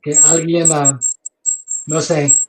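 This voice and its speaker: A man giving an online theology lecture over a video call, speaking slowly, repeating words and inviting questions. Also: fairly high pitch, flat delivery, a little mellow, a little hiss or hum, poor audio. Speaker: seminary lecturer.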